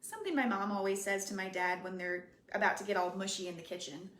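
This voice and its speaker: A woman reading the part of a spirited, caring teenage girlfriend, teasing and unhurried, in an echoey room. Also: medium pitch, lively intonation, a bit bright, natural voice. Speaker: reader.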